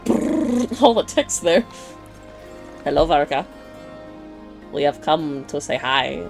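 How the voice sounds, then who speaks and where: A woman's voice: low-pitched, very animated, takes it slowly, creaky, a little mellow, soft background music. A woman streaming a game with casual reaction commentary, gushing and playful, often cooing in baby talk. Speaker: gaming streamer.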